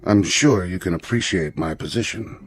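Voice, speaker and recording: An actor playing a formal, tactful, evasive school headmaster in a radio drama, stiffly courteous and measured in his wording. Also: low-pitched, music in the background, lightly acted. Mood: apologetic, smooth.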